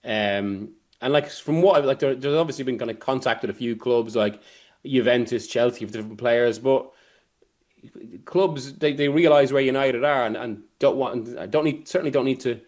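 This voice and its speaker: A man's voice: medium pitch, a little mellow. A man giving football analysis as a guest journalist, rambling and often interrupting himself as he works through a point. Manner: halting, rambling, self-interrupting delivery. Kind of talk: sports discussion, analysis.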